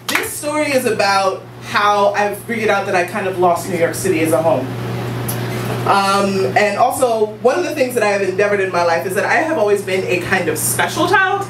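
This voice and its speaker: A woman from Guyana in her late twenties tells a personal story to a live audience, confident and playful. She sounds set back from the mic in an echoing room. A clear hum of room noise runs underneath. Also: medium pitch. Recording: roomy, distant, noisy live room.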